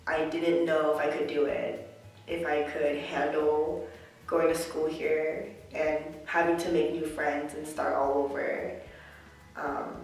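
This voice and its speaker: Earnest young woman in an echoey room. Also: low-pitched. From United States. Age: young adult. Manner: reflective, earnest, heavy on filler words. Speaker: college student interviewee. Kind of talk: personal interview answers. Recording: echoey room, mic at a distance.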